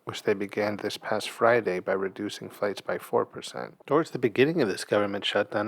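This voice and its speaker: A man's voice: on the low side, dry recording. A man hosting a commentary show, reading scripted opinion in an earnest, emphatic manner.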